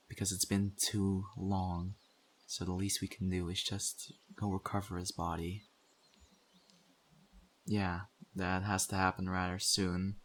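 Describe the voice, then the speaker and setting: A man acts out a weary male survivor who helps run a community after the zombie apocalypse. His voice sits very low and moves little in pitch. He speaks conversationally and directly to the listener, as in an audio roleplay, with a serious, put-upon manner. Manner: conversational, urging, mildly exasperated.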